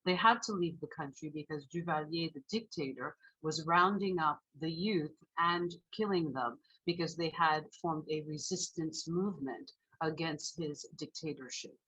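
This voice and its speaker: A woman in her forties, born in Haiti with French as her first language, giving a sermon in a measured, reflective way. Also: low-pitched, fairly bright tone, husky, poor audio. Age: 40s. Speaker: minister.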